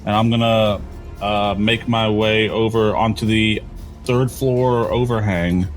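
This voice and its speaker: A man's voice: on the low side, fairly even intonation, slow pace, soft background music. A man playing a tabletop game, talking casually and thinking his moves through aloud with some hesitation.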